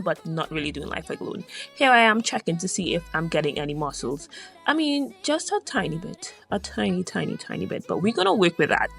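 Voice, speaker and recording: A woman with a Trinidadian accent, chatting casually as she narrates her day in a vlog, rambling from one topic to the next. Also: fairly low pitch. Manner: chatty, digressive and upbeat. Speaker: vlogger.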